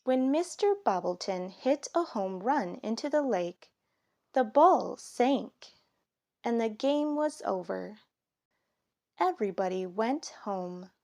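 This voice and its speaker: A woman reads a children's storybook aloud with a highly animated, rising and falling delivery. Her pitch sits a little high, and she takes her time with every phrase, speaking expressively for young listeners. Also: takes it slowly. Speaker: storybook reader. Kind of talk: children's story read aloud, scripted narration.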